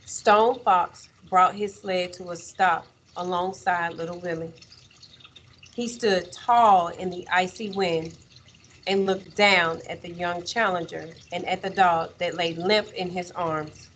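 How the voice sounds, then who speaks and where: A woman teacher reading a children's story aloud in an expressive, measured way, with dramatic pauses. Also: fairly low pitch, slight background noise.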